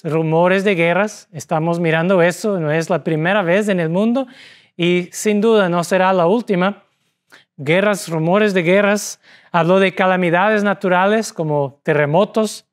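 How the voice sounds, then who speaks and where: A man from Portugal preaching a sermon in Spanish with a Portuguese accent, his second language, speaking deliberately and pausing between phrases. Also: high-pitched. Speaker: pastor.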